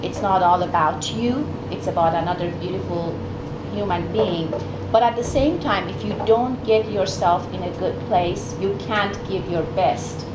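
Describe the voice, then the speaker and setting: Middle-aged woman from Iran, echoey room. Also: medium pitch. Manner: earnest, many fillers and restarts. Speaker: guest speaker, therapist. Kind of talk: personal talk to a mothers' group. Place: meeting room, indoors. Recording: echoey room with background noise.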